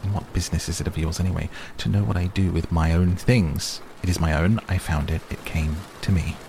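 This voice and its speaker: A man's voice: low-pitched, fairly even intonation, brisk, gravelly. A man voicing an old hobbit in a story reading, fussy, stubborn and testy, with a defensive manner, on a noisy recording. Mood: angry, possessive. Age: older. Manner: stammering, impatient, defensive.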